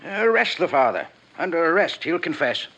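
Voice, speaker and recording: An actor playing a cunning, evasive older man in a 1950s radio drama, speaking in measured, formal phrases. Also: fairly high pitch, sing-song, fairly bright tone, low-quality recording. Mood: earnest.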